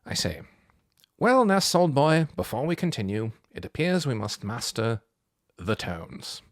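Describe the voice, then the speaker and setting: A man voicing a polite, pedantic boy scholar in a storybook, wordy and old-fashioned in his gentlemanly phrasing. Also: mid-range voice, sing-song, measured pace, no echo, clean studio sound, pitched above the performer's own voice. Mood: lecturing. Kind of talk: storybook character voice.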